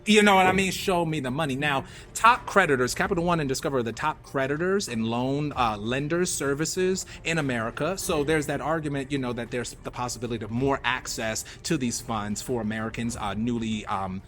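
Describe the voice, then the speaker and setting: A man's voice: medium pitch, brisk, few pauses, fairly bright tone. A man hosting a radio show, giving casual commentary on hot news topics in a theatrical, emphatic way and repeating phrases for effect. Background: music under the talk.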